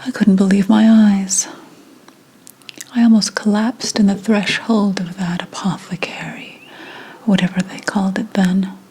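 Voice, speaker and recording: Woman whispering as a slow, breathy, indignant witch. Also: medium pitch, steady tone, dark tone, poor audio. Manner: slow, deliberate, dramatic pauses. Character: indignant secretive 17th-century witch, reincarnated, conspiratorial. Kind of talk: whispered ASMR roleplay.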